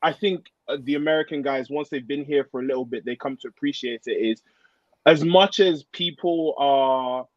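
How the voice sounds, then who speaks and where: A man's voice: medium pitch, moderate pace, no room sound. Man of thirty, dry dull recording. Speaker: guest, American football player. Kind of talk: casual podcast chat.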